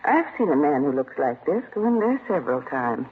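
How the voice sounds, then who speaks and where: An actress playing a knowing, forthcoming apartment neighbor in a 1950s radio drama, speaking emphatically. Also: female voice, low-pitched, sing-song, fairly quick, breathy edge, full character voice. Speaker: neighbor witness. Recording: narrow-band vintage radio recording.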